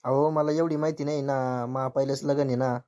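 A man's voice: mid-range voice, little pitch movement, normal speed, warm tone, muffled recording, close to an everyday voice. A man voicing a dim, whiny, crude young bridegroom in a cartoon comedy, with a rural Maharashtrian accent. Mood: naive. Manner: naive, complaining.

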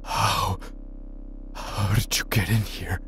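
A man's voice: lowish voice, little pitch movement, slow, fairly bright tone, slight background noise. A young man voicing an earnest, intense sorcerer in a manga dub, serious and dramatic. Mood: shaken. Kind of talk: amateur anime manga dub acting.

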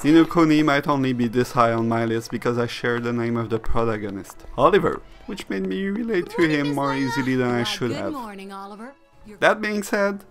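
A man's voice: mid-range voice, a bit bright. A man reading a scripted video essay that reviews games, in the role of narrator. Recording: music bed behind the voice. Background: music.

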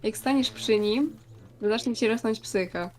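A woman with a somewhat high-pitched voice chats informally during a multiplayer game. She talks to her fellow players and points things out as she goes. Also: normal speed, a little mellow. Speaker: player in a group gaming session. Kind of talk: casual gameplay chat.

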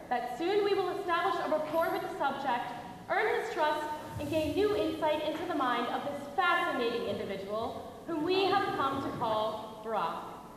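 A young woman playing an earnest scientist in a stage play, giving a formal presentation with projected, deliberate delivery. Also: high voice. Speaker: stage actor in a high school one-act play. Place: on stage in a large dark auditorium. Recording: echoey theater hall, long reverb.

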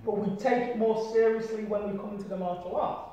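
A man's voice: high-pitched, steady tone, fairly quick, warm tone. A middle-aged man, a martial artist giving an interview. He talks earnestly and circles back to his points, often checking in with "right?" and "you understand me?". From Britain. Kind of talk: reflective conversational storytelling. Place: small room, sitting on a sofa. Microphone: distant camera mic. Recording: very echoey room, mic far off.

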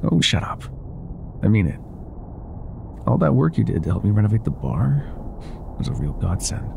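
An older ex-mafia boyfriend played by a man in an intimate ASMR roleplay. His low voice is mellow and heavily fried, with a soft, tender, vulnerable delivery. Faint ambient noise sits behind him. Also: big pitch swings, normal speed, vocal fry, dull audio, full character voice. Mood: teasing, warm. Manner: soft, intimate, affectionate, hesitant at times.

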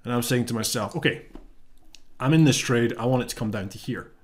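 A man in his thirties with a slight cold, explaining a trade in a relaxed, thinking-aloud way from his home office webcam. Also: medium pitch, fairly quick. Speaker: trading educator. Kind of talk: casual explanatory live-trade walkthrough.

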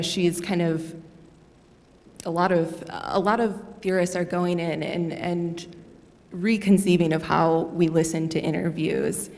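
A young woman on a university panel speaks at a stand microphone in a low, level voice with a gravelly edge. She keeps a measured, steady delivery, and a long hall reverb trails behind her. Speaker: panelist, graduate student. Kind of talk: panel discussion. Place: lecture hall with audience. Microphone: stand mic at a panel table.

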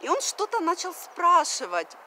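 A woman's voice: very high-pitched, very animated, moderate pace, bright, forceful tone. A woman from Russia in her thirties, talking casually to her phone camera outdoors by a river, with many fillers and bits of English mixed in. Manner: chatty, many fillers, mixes in English phrases. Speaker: vlogger. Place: outdoors on a wooden deck by a river. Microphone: phone camera at arm's length. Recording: outdoor phone recording, faint background noise.

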